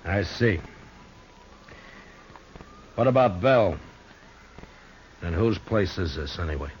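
An actor playing a stern, unflappable marshal in a radio western, speaking in a dry, terse, controlled way. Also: male voice, sits low, animated, slow pace. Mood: stern, probing. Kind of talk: 1950s radio western acting. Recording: muffled, hissy old radio recording.

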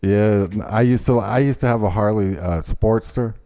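A man with a low, dark and relaxed voice chats as a podcast host. His talk is loose and informal, peppered with profanity, coming over an internet call. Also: normal speed. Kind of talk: casual chat. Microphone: remote internet call.

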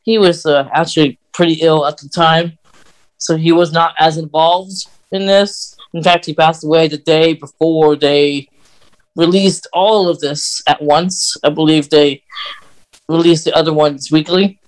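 A man's voice: a little high, steady tone, unhurried, poor audio. A man hosting a podcast in a casual, conversational style. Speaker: podcast host. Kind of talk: casual chat.

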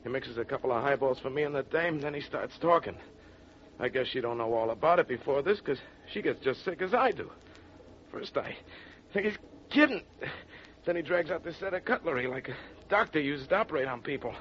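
An actor playing a sick, frightened small-time crook with slangy, street-tough radio gangster talk. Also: male voice, medium pitch, animated, fairly quick, natural voice. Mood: sickened, rattled. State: sick, queasy. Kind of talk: 1940s radio gangster acting. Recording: dull, noisy old radio recording.

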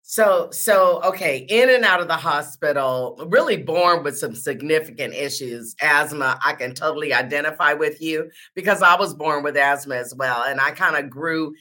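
A woman's voice: low-pitched, moderate pace, few pauses, pushed and bright. A woman hosting a conversational interview, responding to her guest with sympathy. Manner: sympathetic, reactive. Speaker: show host, interviewer.

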